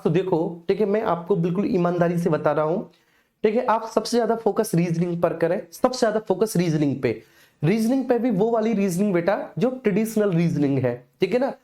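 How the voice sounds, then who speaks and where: A man's voice: high-pitched, mellow. A man teaching an informal live online exam class, instructing repetitively and often checking that students follow.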